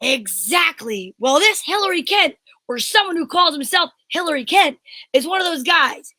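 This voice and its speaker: Woman playing a very high, flustered police inspector. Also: animated, moderate pace, sharp tone, dry recording, exaggerated voice. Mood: alarmed, explaining. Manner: melodramatic, exclamatory, agitated. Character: flustered, excitable male police inspector, easily agitated. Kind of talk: amateur old-time radio detective drama acting.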